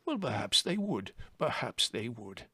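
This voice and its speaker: A man's voice: medium pitch, very animated, a little slow, bright, forceful tone, slightly rough, no echo, heavily acted. A man voicing an aging chief rabbit in a storybook, drawling, over-polite, detached and condescending. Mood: politely dismissive. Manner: drawling, over-polite, unruffled, with musing repetitions.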